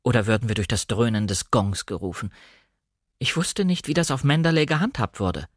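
A man narrating a literary audiobook in a measured, expressive way. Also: mid-range voice, no room sound, clean studio sound.

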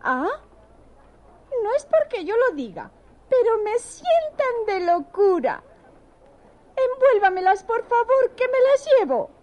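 A coquettish little lady mouse from a children's story, extremely high and squeaky in pitch. She exclaims theatrically with swooping intonation, fussy and pleased with herself, taking her time over each phrase. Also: big pitch swings, slow pace, long pauses, darkish tone, dull audio, full character voice. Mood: pleased, vain. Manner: exclamatory, self-admiring, theatrical. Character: vain, coquettish young female mouse, cheerful and fussy. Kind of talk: children's audio story acting.

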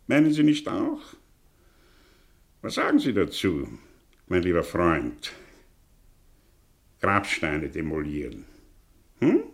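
A cynical male intellectual holds forth in in a radio drama. His delivery is slow and deliberate, swinging dramatically up and down. He sounds bitter and sardonic, probing his listener with rhetorical questions. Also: mid-range voice. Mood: scornful, then sardonic, needling. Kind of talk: German radio play acting.

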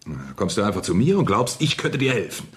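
An embittered deputy director in a radio play. His voice is low, and he talks in a blunt, sardonic way. He is a cynical man who sounds exasperated with those around him. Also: big pitch swings, fairly quick. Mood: exasperated, angry. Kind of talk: German radio drama acting.

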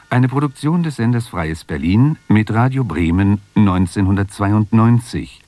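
A man reading radio credits in a formal, measured, scripted manner. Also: lowish voice, a little mellow.

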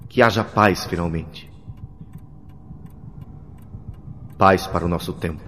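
A man's voice: deep voice, fairly quick, fairly bright tone, a little hiss or hum. A man playing a solemn, grandiose alien leader who declaims a formal speech to his people in a sci-fi audio drama. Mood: grave, hushed. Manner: oratorical, declamatory, grave. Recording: long hall reverb, music underneath.

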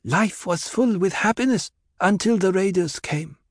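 Storybook child priestess, played by a male narrator: small girl, high, solemn, intense, formal and wise beyond her years. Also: a little slow, dry recording, studio quality.

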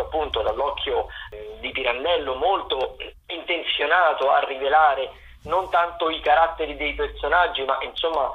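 Uomo al telefono, voce piuttosto acuta. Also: male voice, fairly high pitch. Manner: playful, discursive, with asides. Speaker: theatre critic, radio contributor. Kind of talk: chatty weekly theatre listings. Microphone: telephone. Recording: tinny phone line.

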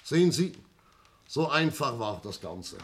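A man playing a shrewd, self-assured police inspector in a 1960s radio crime drama, explaining things theatrically with emphatic pauses. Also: mid-range voice, big pitch swings, slight background noise. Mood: confident, emphatic. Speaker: actor in a radio play.

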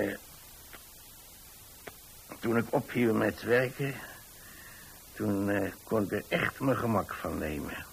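A man's voice: on the low side, creaky, a little hiss or hum, heavily acted, low-quality recording. An actor playing a mild, rambling old widowed pensioner with a Dutch accent, hesitantly telling his story in a radio play. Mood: reminiscing, unhurried. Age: 60s or older.

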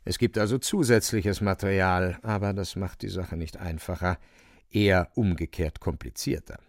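A man's voice: sits low, fairly even intonation, moderate pace, no room sound, studio quality. A man narrating a radio play from a script, a wry storyteller with colloquial asides.

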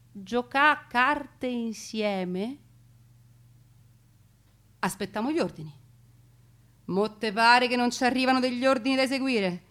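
A woman playing a naive, excitable, homesick Italian soldier on stage, in colloquial Veneto speech. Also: fairly high pitch. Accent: northern Italian, Veneto. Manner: colloquial, emotional dialect exchanges.

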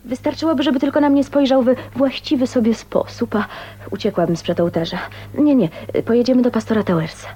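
An actress playing a shy but eager young bride in a radio drama, nervous and earnest, often repeating words hesitantly. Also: female voice, fairly high pitch, brisk, warm tone, natural voice. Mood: flustered, anxious. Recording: slightly dull, noisy 1980s radio recording.

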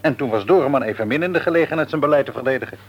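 An actor in a radio drama playing an older father who reasons frankly and gently reproves his grown son. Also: mid-range voice, racing, lightly acted. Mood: reasoning. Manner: reasoning, hesitant at times, frank.

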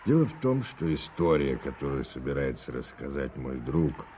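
An actor playing an inquisitive, genial gentleman in a 1980s Soviet radio drama, with a measured, commanding manner. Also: a bass voice, very animated. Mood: probing, brisk. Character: priest's genial, authoritative, inquisitive gentleman friend. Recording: hissy old radio drama tape.

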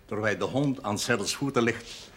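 A man in his sixties playing a stern, erudite village pastor in a period TV drama, measured, pompous and sardonic. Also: lowish voice, a little hiss or hum, close to an everyday voice. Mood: solemn, lecturing. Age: 60s. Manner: pompous, measured, sententious, slipping into French and Latin. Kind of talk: television period drama acting.

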